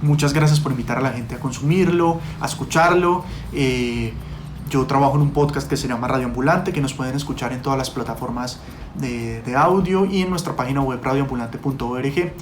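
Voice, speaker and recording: A man answering interview questions conversationally as a podcast producer, with hesitant, halting phrasing. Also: medium pitch, a little hiss or hum.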